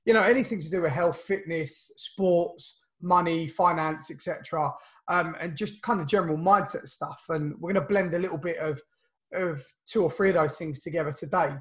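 Croaky-voiced man hosting a video-call podcast. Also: on the high side, moderate pace, a bit bright. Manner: chatty, loose, lots of fillers and restarts. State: croaky voice from illness. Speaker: podcast host. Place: home room, indoors. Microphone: video call webcam.

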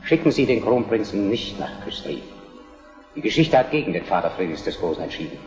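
An actor playing a shrewd, controlled Prussian prime minister, deferential and measured, in a German historical radio drama. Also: male voice, fairly low pitch, sing-song, a little mellow, soft background music. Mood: measured, persuasive. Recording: radio play in echoing hall.

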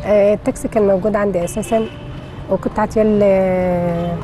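A woman's voice: medium pitch, fairly even intonation, a little fast, music in the background. A middle-aged woman with a Port Said Egyptian accent, telling her story casually in plain, short colloquial answers outdoors. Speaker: taxi driver being interviewed. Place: city street, outdoors. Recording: faint outdoor street noise.

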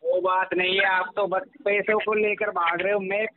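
A man's voice: high voice, level tone, normal speed, a crowd in the background. A man arguing on a phone call in an insistent, repetitive and pressuring way. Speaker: caller pressuring the other party. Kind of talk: casual phone argument. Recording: noisy, tinny telephone line with clicks.

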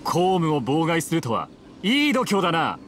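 A man's voice: fairly high pitch, huge pitch swings, moderate pace, background noise. A man voicing an officious, menacing samurai guard in a Japanese anime game, formal and threatening. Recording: clear music behind the voice.

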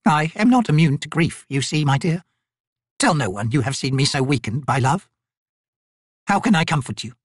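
Brief: narrator as ancient she-raven, stubborn and tender, slow soothing delivery, close dry sound. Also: sits low, animated, husky, no echo, voice pushed up. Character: great old female raven spirit, tender and stubborn.

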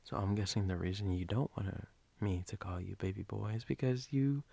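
A man playing a sleepy, teasing, doting boyfriend in an ASMR role-play, husky and breathy, often dropping to a whisper in an intimate, halting way. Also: on the low side, dark tone, no echo.

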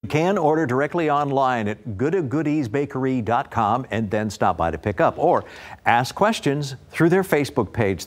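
An older American man reading a scripted local TV news feature with polished presenter delivery. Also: medium pitch. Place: TV news studio.

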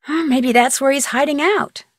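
An actress playing an inquisitive, friendly young American amateur detective in a video game, speaking clearly in an earnest, conversational way. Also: female voice, sits high, moderate pace, no echo, studio quality, natural voice. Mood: puzzled, confiding. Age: young adult. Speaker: player character of a detective game. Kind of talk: scripted video game dialogue.

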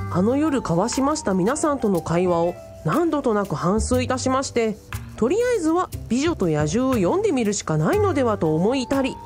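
Woman doing earnest young guy, highish voice, super formal dramatic radio play storytelling, music behind. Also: a little mellow. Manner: pompous, very polite, theatrical. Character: earnest young male part-timer, grandly theatrical sleuth.

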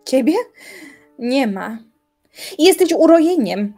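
A woman's voice: sits high, expressive, slow pace, faint music behind, exaggerated voice. A woman playing a proud, scornful young philosopher. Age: young adult. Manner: sharp, sarcastic retorts. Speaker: voice actor. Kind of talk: audio drama acting.

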